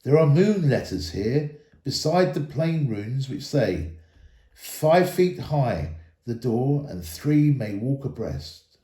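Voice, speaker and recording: A man voicing a wise, grave ancient elf lord in a storybook, with measured, solemn, archaic phrasing. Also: medium pitch, expressive, warm tone, roomy sound. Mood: absorbed, explaining.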